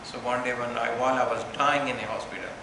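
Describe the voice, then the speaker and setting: A man speaks at a press conference in a heavily echoing room, with steady noise in the background. His delivery is earnest and halting, and he keeps circling back to rephrase his points. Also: medium pitch. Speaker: event organizer.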